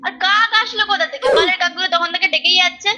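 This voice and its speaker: A woman's voice: very high-pitched, rapid-fire, bright, forceful tone. A woman on a phone call, irritated and complaining as she is pranked. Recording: phone line with background noise.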